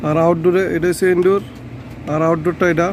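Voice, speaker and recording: A man's voice: fairly high pitch, flat delivery, fast talker, highs cut off, slight background noise. A man with a Bangladeshi accent giving an informal hands-on how-to as an electrician, often repeating his points. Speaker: electrician presenting a how-to video. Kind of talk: casual hands-on tutorial narration.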